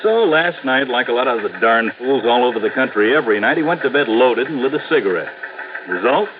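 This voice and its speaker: A man's voice: mid-range voice, animated, brisk, crowd noise, close to an everyday voice. An actor playing a brash, cocksure arson squad policeman, blunt and slangy, in an old radio drama. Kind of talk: 1950s radio drama acting. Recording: noisy, narrow old radio recording.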